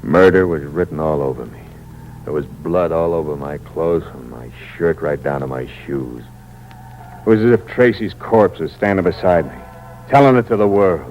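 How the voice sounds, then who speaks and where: A man's voice: extremely low pitch, normal speed, soft background music. An actor playing a cold, hard-boiled con man who narrates in the first person in a 1950s radio drama, with tough, clipped slang and a menacing edge. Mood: narrating. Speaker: narrator and lead character. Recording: dull 1950s radio broadcast with hum.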